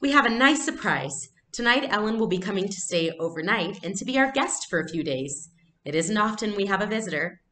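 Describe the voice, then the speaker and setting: A woman voicing a brisk, cheerful mother who hides her worry, in a reassuring storybook reading. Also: mid-range voice, expressive, sharp tone, slight background noise, pitched above the performer's own voice.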